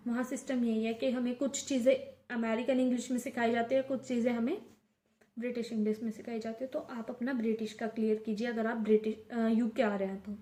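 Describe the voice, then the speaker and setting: A woman's voice: on the high side, level tone, brisk, dark tone. A young woman from India giving chatty, casual advice in a vlog, mixing in English words and often restarting her sentences. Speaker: vlogger. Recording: echoey room.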